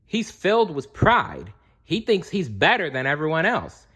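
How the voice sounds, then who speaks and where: Man putting on a high-pitched, dramatic faithful butler. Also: wildly swooping pitch, measured pace, fairly bright tone, slightly rough, exaggerated voice. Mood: urgent, dramatic.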